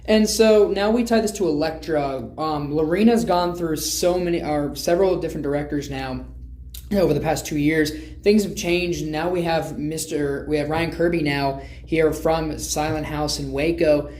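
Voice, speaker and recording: A man's voice: fairly high pitch, normal speed, darkish tone. A man hosting a podcast, interviewing guests in a conversational way. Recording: roomy echo, background noise.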